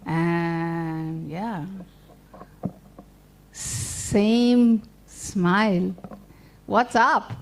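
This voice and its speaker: A calm, unhurried old male tortoise, as a woman puts him on in a told folktale. His voice drops low and drawls each word out. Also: vocal fry, heavily acted. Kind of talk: storytelling to a child.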